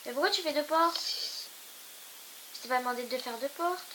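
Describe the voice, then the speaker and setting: A young woman with a stuffy cold co-hosts a casual gaming chat, quick to protest and exclaim. Her voice is fairly high. A steady hiss and clicks sit under the recording. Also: low-quality recording. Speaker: gaming YouTuber co-host. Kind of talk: casual let's-play chat. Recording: hissy home recording.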